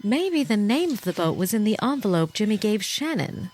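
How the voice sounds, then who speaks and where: An actress playing a cold, scheming femme fatale wife, languid and mocking, in a noir-style audio drama. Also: female voice, mid-range voice, big pitch swings, normal speed, a little mellow, soft background music. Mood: sly, contemptuous.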